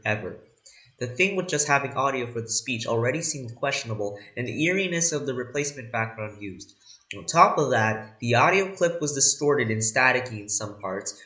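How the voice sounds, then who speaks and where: A man's voice: medium pitch, bright, forceful tone. A man playing an indignant news anchor who comments on live events in an emphatic, incredulous, conversational way, recorded in a roomy space.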